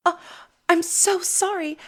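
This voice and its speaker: An actress playing a polite, soft-mannered lady guest in an audio drama. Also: extremely high pitch, steady tone, slow pace, very noisy.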